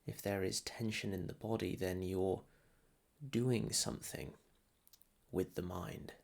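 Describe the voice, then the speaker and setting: A man leading a guided meditation as a teacher, soothing and unhurried, leaving long pauses between short phrases. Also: deep voice, fairly even intonation, gravelly, darkish tone. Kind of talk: guided meditation, calm instruction.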